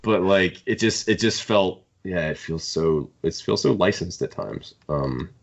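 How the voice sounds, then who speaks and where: A man hosting a podcast, casually discussing books in a halting, opinionated fan's way. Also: low voice, lively intonation, vocal fry. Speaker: podcast host. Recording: dull lo-fi podcast audio.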